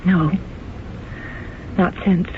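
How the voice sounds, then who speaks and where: A woman's voice: extremely slow, noisy background. An actress playing a celebrated stage actress haunted by her past, in a 1970s radio drama. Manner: hesitant, stammering when shaken. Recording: tinny old radio broadcast with music.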